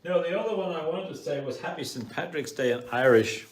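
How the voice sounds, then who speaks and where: A middle-aged man co-hosting a casual family show, gentle and joking. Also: a little high, lively intonation. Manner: gentle, joking, slow blessings. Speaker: co-host. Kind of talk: casual chat.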